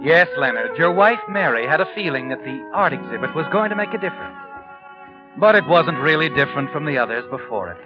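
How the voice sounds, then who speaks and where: High-voiced, ominous old-time radio storyteller played by a man. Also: animated, natural voice. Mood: ominous, knowing. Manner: deliberate, dramatic, addresses the character as you. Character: omniscient sinister male storyteller, knowing and ominous. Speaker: narrator and host of a radio mystery series. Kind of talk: 1940s radio suspense narration in second person. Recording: dull lo-fi old radio, music behind.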